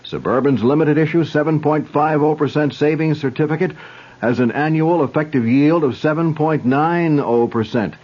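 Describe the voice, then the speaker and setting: Breathy male radio ad announcer. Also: a little high, moderate pace, darkish tone. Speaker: commercial announcer. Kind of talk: 1970s radio advertisement read. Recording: lo-fi 1970s radio broadcast.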